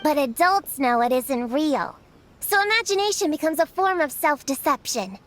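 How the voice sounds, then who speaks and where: A woman's voice: high-pitched, expressive, moderate pace, a bit bright. A woman voicing a small, earnest, precocious child who likes to lecture, in a video game cutscene. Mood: earnest, lecturing. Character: small, earnest, know-it-all child friend. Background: background music.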